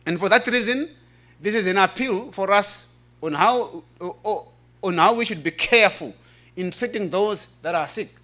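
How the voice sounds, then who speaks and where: High-pitched, bright-voiced African man presenting. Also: normal speed. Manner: earnest, emphatic, repeats key phrases. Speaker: presenter reading a paper at a church health forum. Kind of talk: preaching-style conference presentation.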